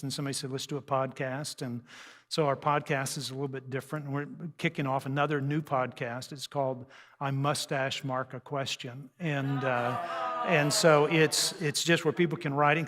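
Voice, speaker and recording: A man's voice: fairly high pitch, a bit bright. An older man giving a folksy, joking, conversational talk on family life to a live audience, poking fun at himself. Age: 60s or older. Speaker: speaker on raising teens, radio host. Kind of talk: humorous seminar talk to a church audience. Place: large church hall, speaking to an audience. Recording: live hall with long reverb.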